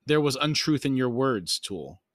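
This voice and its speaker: A man's voice: medium pitch, big pitch swings, unhurried, fairly bright tone, no room sound, clean studio sound. Man reading as a wary mortal man. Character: wary, plain-spoken mortal man in fantasy.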